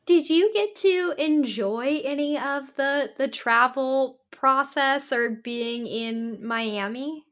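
A woman hosts a podcast interview, firing off eager follow-up questions. Her voice is fairly high and bright. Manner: enthusiastic, asks quick follow-up questions. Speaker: podcast host. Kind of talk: casual interview chat.

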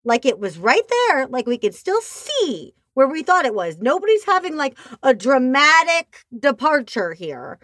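A woman with a nasal voice talking casually on a podcast, expressive and animated as she tells stories. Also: high-pitched, a little slow, few pauses, dry recording, studio quality. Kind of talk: casual chat. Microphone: remote call.

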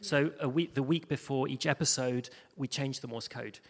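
A man speaking informally as a panel guest, in a halting way with many restarts. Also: medium pitch. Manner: hesitant, halting, restarts often. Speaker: panel guest, audio drama producer. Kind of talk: informal spoken anecdote on a panel. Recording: echoey hall with long reverb.

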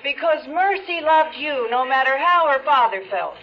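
A woman's voice: high-pitched, expressive, normal speed, crowd noise. An actress playing an anxious, earnest woman questioned by police in a 1970s radio drama. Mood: wistful. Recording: dull old radio recording, noisy background.

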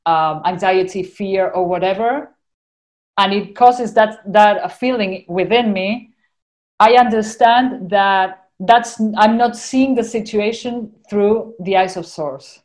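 A woman's voice: medium pitch, slow pace, a little mellow. A woman with an Argentinian accent, a podcast guest talking casually and earnestly about her spiritual life, rambling and dotting her talk with "you know".

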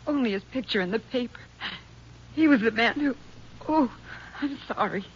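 A woman acting a shaken young schoolteacher in a 1950s radio drama, halting and distressed. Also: fairly high pitch, a little hiss or hum, lightly acted. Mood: horrified, shaken. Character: shaken young female schoolteacher, frightened attack victim. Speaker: radio actor. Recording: muffled old 1950s radio recording.

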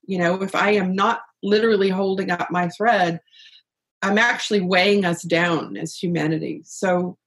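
A middle-aged woman speaking earnestly and reflectively as an interview guest about purpose and spirit, with soft laughs. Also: lowish voice, steady tone, normal speed. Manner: earnest, searching, laughs softly. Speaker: guest, author on life purpose.

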